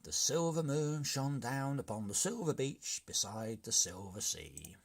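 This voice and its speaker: A man's voice: mid-range voice, very animated, a little slow. A man voicing a young captive boy in a storybook, earnestly improvising a tall tale. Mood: obliging. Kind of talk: storybook character voice.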